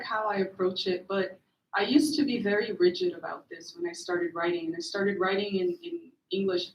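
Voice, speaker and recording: A woman writer whose first language is Portuguese, originally from Brazil, answering thoughtfully on a panel with many fillers and restarts. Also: medium pitch. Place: event room at a literary gathering. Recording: roomy, distant, dull-sounding mic.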